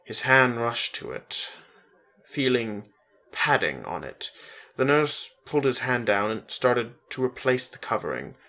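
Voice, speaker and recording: A man narrating a story as a slow, deliberate dramatic reading. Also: medium pitch, sing-song, sharp tone.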